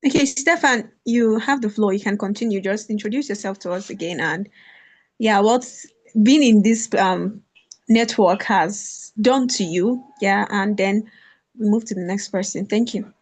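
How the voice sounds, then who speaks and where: A woman's voice: medium pitch, a little slow. A woman hosting an online ceremony, informally moderating and handing over to speakers. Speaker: host moderating an online graduation event. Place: online video call.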